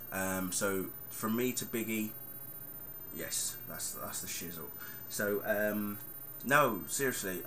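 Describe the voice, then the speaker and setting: Man, 30s, very low voice, casual rambling vlog talk, audible background noise. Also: pushed and bright. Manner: chatty and rambling, with many fillers. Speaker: vlogger.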